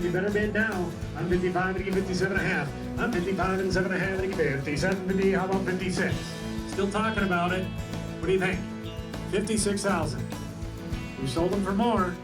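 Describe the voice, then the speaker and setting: A man working as an auctioneer, calling bids in a repetitive chant with country guitar music behind. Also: high voice. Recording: distant mic, loud country music over.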